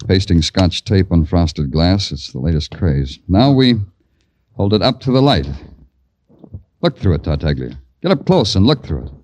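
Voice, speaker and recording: Low-voiced, wry hardboiled radio detective. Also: male voice, normal speed. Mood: dry, deliberate. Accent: American. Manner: deadpan, sardonic. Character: weary, wry male big-city police detective narrating his case. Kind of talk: 1940s radio crime drama acting, hardboiled narration. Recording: old 1940s radio broadcast, music behind.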